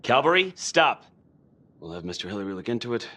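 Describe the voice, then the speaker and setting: Commanding sergeant in a game drama; rapid, fairly low, brisk and dismissive. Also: male voice, big pitch swings, pushed and bright, full character voice. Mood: curt, controlling. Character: curt, controlled male police sergeant in charge. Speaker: video game actor. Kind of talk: naturalistic crime drama acting.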